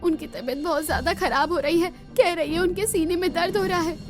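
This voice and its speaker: Woman as a worried, high-voiced dutiful wife. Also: brisk, music in the background. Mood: alarmed. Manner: anxious, respectful, earnest. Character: worried, dutiful young wife of a street vendor.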